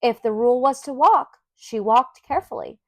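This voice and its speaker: A woman reads a children's story aloud in an expressive, clearly enunciated storytelling manner. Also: a little high, moderate pace, no echo. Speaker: storybook reader.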